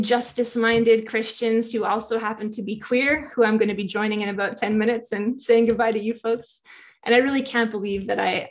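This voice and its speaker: A woman pastor telling her own faith story to a church gathering, heartfelt and reflective. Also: fairly high pitch.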